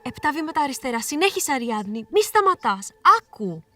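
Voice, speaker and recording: A woman's voice: sits high, very animated, fast, bright, forceful tone, soft background music, full character voice. A woman playing an insistent chorus figure in a tragedy, urging onward in an incantatory, directive way, recorded at a distance. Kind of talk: poetic theatrical chorus. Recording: distant from the microphone.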